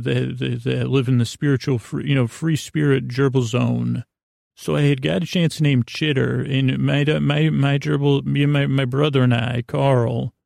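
A man with a gravelly, creaky voice hosts a bedtime podcast, telling rambling stories in a halting, meandering way and often interrupting himself. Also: medium pitch, a little mellow, no echo, clean studio sound. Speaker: podcast host. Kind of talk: rambling bedtime storytelling monologue.

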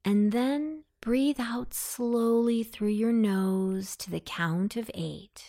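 A woman narrating a guided meditation in a soothing, deliberate, instructing manner. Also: fairly high pitch, mellow.

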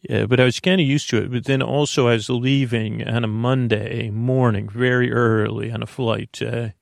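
American man, gravelly creaky voice, close dry sound, meandering bedtime monologue, halting sentences that trail off. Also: mid-range voice, fairly even intonation, no echo. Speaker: podcast host. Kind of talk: rambling bedtime monologue to help listeners sleep.

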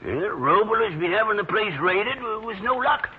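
Chatty small-town American newspaperman, very animated delivery, thin hissy vintage radio drama sound. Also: sits high, normal speed. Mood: confiding. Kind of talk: 1940s radio drama dialogue. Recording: tinny, hissy old radio recording.

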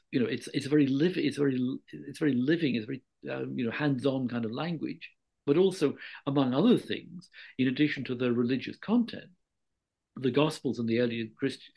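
A man speaking as a guest expert in an interview, explaining history in a scholarly, measured way and often rephrasing himself with careful qualifications. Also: medium pitch, creaky, a little mellow, breathy edge.

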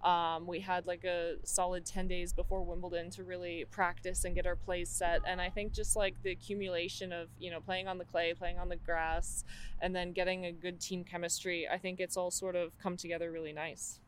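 American woman, low voice, relaxed answers. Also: a little fast, a little hiss or hum. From Florida, United States. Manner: relaxed, with many fillers and restarts. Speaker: professional tennis player, guest. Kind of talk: casual interview answers.

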